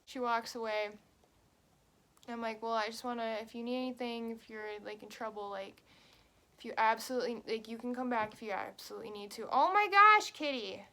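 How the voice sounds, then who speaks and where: A woman's voice: fairly high pitch, pushed and bright. A woman in her twenties telling a story in a casual, chatty vlog, with lots of fillers. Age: 20s. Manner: chatty, quick, many fillers. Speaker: vlogger. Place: at home.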